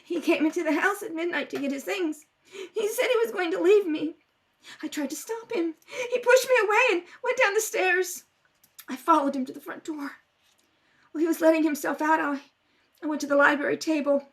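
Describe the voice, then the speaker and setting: A woman's voice: very high-pitched, a little fast. A woman playing a distraught, pleading young woman suspected of murder in an old-time radio drama, with frantic repetitions. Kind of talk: 1930s radio drama acting.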